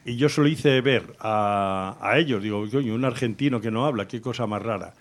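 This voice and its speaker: A man's voice: medium pitch, moderate pace. Teasing, playful Spanish man. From Spain. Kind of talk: casual chat.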